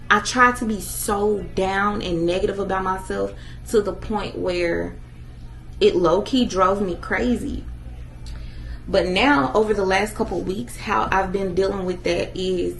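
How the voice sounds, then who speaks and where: A woman in her twenties talking to viewers in a casual motivational vlog, emphatic and animated, addressing them directly. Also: mid-range voice, creaky, a little hiss or hum, low-quality recording. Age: 20s. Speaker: vlogger.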